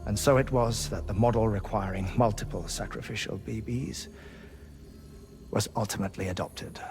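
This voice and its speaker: An actor playing a genial, scholarly investigator in a video game, speaking in a formal, courteous, wordy way. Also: male voice, fairly low pitch, moderate pace. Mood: explanatory, measured. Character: genial, scholarly male investigator with old bones. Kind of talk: video game cutscene acting. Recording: game dialogue over clear music.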